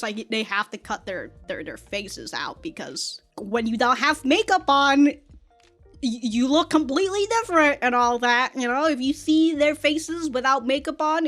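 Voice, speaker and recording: Woman, higher voice, casual reaction host, rambling, animated, informal and sweary, faint music behind. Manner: rambling, animated, laughs through remarks, swears. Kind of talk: casual commentary on an anime episode.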